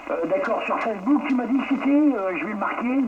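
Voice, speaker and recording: A man from northern France heard over two-way radio, chatting casually as an operator and pausing to search for words. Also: high voice, expressive, narrow, tinny audio. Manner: hesitant, halting, pauses to search for words. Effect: two-way radio transmission. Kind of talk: casual radio contact chat. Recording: noisy CB radio transmission with static.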